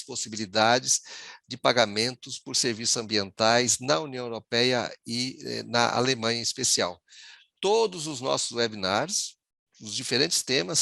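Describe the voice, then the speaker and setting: A man, moderating a formal webinar over a video call in a measured way, often pausing on fillers. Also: mid-range voice, vocal fry, sharp tone, no room sound. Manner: measured, with frequent hesitation fillers.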